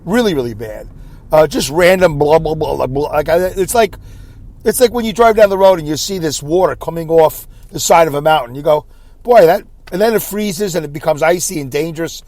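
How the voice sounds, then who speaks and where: A man hosting his own podcast with a scratchy voice, rambling in a self-deprecating way and clearing his throat often. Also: on the high side, animated, brisk, darkish tone, no room sound. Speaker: podcast host. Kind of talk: solo rambling podcast monologue. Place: inside a car.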